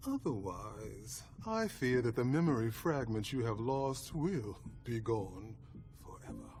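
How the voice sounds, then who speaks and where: An actor playing a suave, formal vampire clan leader in a video game, with courtly, measured politeness and quiet menace. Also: male voice, medium pitch, very animated, soft background music. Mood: polite menace. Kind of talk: dramatic video game acting.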